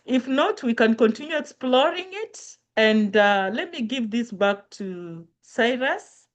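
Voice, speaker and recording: Woman lecturer, earnest and emphatic, slightly high voice, repeats for effect. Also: lively intonation, slow, lo-fi sound. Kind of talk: motivational online lecture.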